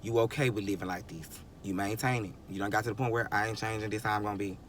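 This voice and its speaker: A man's voice: lowish voice, fairly even intonation, quick pace, a bit bright, a little hiss or hum. A man in his twenties giving a rambling, emphatic motivational monologue, often chuckling and restarting sentences. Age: 20s. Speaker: vlogger.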